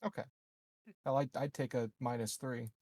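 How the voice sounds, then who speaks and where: A man improvises as a player in a tabletop roleplaying game. His voice is mid-pitched and recorded dry, and his delivery is casual and halting as he corrects himself. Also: fairly even intonation, a little mellow, no room sound.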